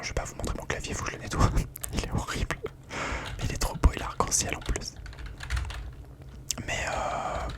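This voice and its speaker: A young man whispering a rambling ASMR chat from home, slangy and full of fillers, close to the microphone. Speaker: ASMR creator.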